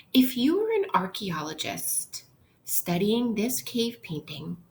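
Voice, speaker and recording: A woman's voice: mid-range voice, slight background noise, low-quality recording. A woman teacher reading aloud to children in an instructional way, explaining things simply. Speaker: elementary school teacher. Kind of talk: interactive read-aloud lesson for children.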